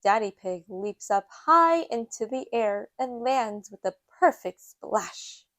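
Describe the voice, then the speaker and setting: A woman reads a children's picture book aloud. Her voice sits a little high, and her storytelling is measured and slow, with hardly any room sound. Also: animated, fairly bright tone, dry recording. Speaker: storytime reader.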